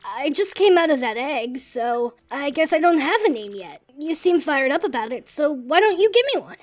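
A woman's voice: high-pitched, animated, normal speed. A woman voicing a cocky, eager newly hatched boy dinosaur in a fan-dubbed video game, brash and slangy.

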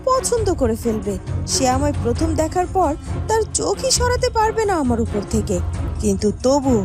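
Fairytale cartoon princess female actor, young, vain, dramatic, very high and lilting, music under. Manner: self-admiring, dramatic. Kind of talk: cartoon dubbing. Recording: music behind the voice.

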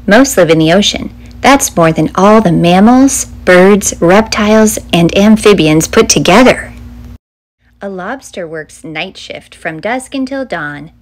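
A middle-aged woman reads a children's book aloud as a storytime host, with a clear, measured storytelling delivery. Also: mid-range voice. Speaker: children's author and librarian hosting a storytime.

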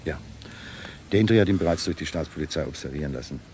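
An actor playing a shrewd, cynical intelligence doctor in a German radio play, with a dry, measured delivery. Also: male voice, rumbling low, lively intonation, a little mellow. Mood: coolly insistent. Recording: faint noise on old radio recording.

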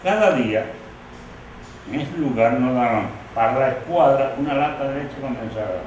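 A man's voice: medium pitch, expressive, normal speed, darkish tone, a little hiss or hum. An older man born in Galicia, a former rebel soldier, recalling the war in an interview, often ending with a questioning "no?". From Galicia, Spain. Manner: reflective, with many "¿no?" tags. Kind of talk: recollecting in an interview. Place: living room, indoors. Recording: very echoey room, mic far off.

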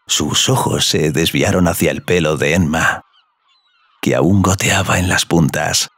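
A man's voice: low-pitched, a little slow, fairly bright tone, slightly rough, no room sound. A man narrating a scripted audio story.

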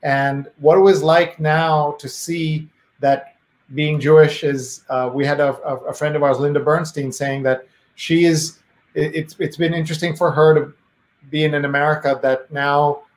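A man's voice: fairly high pitch, level tone, moderate pace. A man hosting a live interview show over a video call, asking probing questions and reading viewer comments aloud. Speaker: host.

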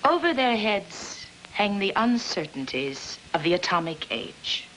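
A woman's voice: mid-range voice, sing-song, unhurried, fairly bright tone, a little hiss or hum, poor audio. An actress playing an earnest, self-important middle-aged mother with a grand declamatory manner, in 1950s film style. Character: earnest, self-important suburban mother rehearsing her speeches. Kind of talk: 1950s American film acting. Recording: 1950s film soundtrack with music behind.

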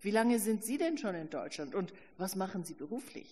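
Ein Mann, der bei einer Lesung einer lebhaften, zierlichen jungen Studentin mit kesser, bestimmender Art die Stimme leiht, in einem Saal mit langem Nachhall. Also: male voice, high voice, lively intonation, brisk, warm tone, a little hiss or hum. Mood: cheeky. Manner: bossy, self-consciously witty. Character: skinny, lively young female student, cheeky. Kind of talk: literary reading. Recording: reverberant hall.

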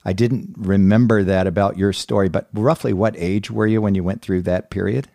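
A man with a low, mellow voice hosts a podcast interview. He asks his questions slowly and hesitantly, in a friendly tone, and the sound is close and dry. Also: fairly even intonation, creaky, dry recording. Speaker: podcast host.

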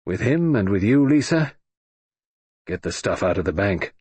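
A frail old man murmurs in halting fragments, as a male narrator puts him on. His husky voice drifts up and down while he muses aloud. The sound is close and dry. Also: on the low side, big pitch swings, darkish tone, dry recording. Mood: musing. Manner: halting, reflective. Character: frail older man, musing and distracted.

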